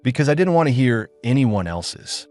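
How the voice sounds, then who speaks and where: A man voicing a reserved, solitary male engineer who answers in short, quiet lines. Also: medium pitch, very animated, moderate pace, soft background music, natural voice. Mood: guarded, plain. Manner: terse, plain and quiet.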